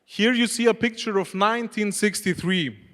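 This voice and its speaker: A man's voice: high-pitched, moderate pace, a bit bright. A man whose first language is Greek, giving a chatty conference presentation on stage and putting questions to the audience in loosely phrased English. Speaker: conference speaker, head of e-commerce. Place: expo hall stage. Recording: long reverb of a large hall.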